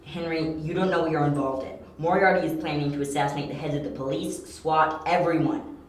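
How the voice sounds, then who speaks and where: A man playing a sharp, confident young detective who explains and insists, in an amateur audio drama. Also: fairly high pitch, fairly even intonation, brisk, natural voice. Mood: warning. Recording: very echoey, roomy amateur recording.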